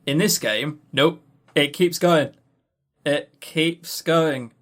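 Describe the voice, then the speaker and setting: A man's voice: on the high side, slow pace, fairly bright tone. A man co-hosting a podcast in casual review chat, dryly sarcastic and often repeating words as he starts a sentence. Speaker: podcast co-host.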